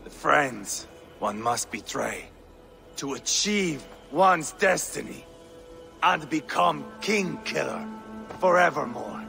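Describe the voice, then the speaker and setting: An actor playing a treacherous, unhinged Viking warlord in a game cutscene, taunting with sudden shouts. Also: male voice, expressive, takes it slowly, gravelly, exaggerated voice. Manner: taunting, swings between low menace and shouting. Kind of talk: video game cutscene acting. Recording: music under dialogue.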